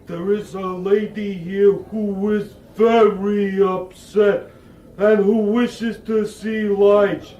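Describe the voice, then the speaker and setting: An actor in a radio drama playing a simple servant robot with plodding, stilted delivery. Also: male voice, high-pitched, level tone, takes it slowly, dark tone. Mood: dutiful, flat. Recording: muffled, echoing, boxy sound.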